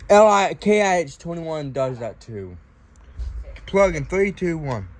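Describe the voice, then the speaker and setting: A man in casual conversation as a fellow radio hobbyist, halting and searching for his words. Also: a little high, lively intonation. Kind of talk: casual chat.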